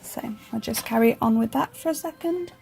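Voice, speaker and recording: A woman with a croaky voice, chatting casually over her planner video with a self-deprecating, rambling manner. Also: a little high, moderate pace, darkish tone. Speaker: planner vlogger.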